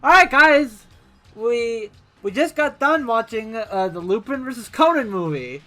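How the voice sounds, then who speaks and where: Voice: mid-range voice, animated, measured pace, pushed and bright. A co-host in a casual movie review chat, laughing often and restarting sentences.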